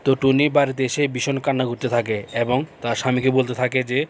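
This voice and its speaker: A man's voice: mid-range voice, little pitch movement, a little fast. A man narrating a cartoon folk tale in a simple, repetitive storytelling manner.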